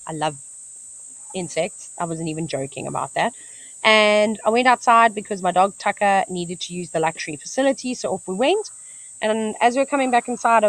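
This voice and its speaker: A woman's voice: mid-range voice, poor audio. Chatty South African woman narrating wildlife. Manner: chatty, enthusiastic, talks to the animals. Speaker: wildlife livestream host. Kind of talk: live nature commentary. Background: cicadas screeching.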